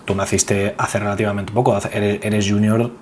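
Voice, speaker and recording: A man's voice: fairly low pitch, normal speed. A man with an accent from Spain, interviewing a guest in casual, slangy, bantering podcast talk. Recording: clear steady background noise.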